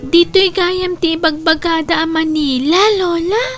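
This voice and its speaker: A woman voices an innocent young granddaughter in a radio drama, extremely high and childlike. She speaks slowly and plaintively, with music behind her. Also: steady tone. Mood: innocent, hopeful.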